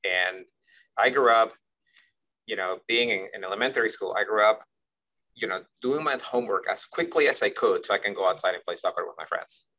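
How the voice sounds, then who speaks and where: A man's voice: fairly low pitch, a little fast, pushed and bright, rough recording. A man from Ecuador, a guest in an interview, telling stories at length with many restarts and checks like "you see what I mean?". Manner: rambling, repeats words, asks "you see what I mean?". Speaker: guest, company leader. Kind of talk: conversational interview answers.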